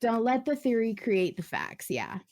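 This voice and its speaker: A woman's voice: a little high, sing-song, moderate pace, darkish tone, no room sound. A woman chatting casually as a podcast host, effusive and slangy, with frequent asides. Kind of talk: casual fan discussion.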